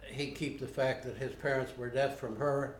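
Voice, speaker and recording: An older man being interviewed, recalling his past in a plainspoken, unhurried way with frequent pauses. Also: mid-range voice, steady tone, slight background noise. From United States. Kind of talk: unscripted recollection. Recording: roomy home video recording.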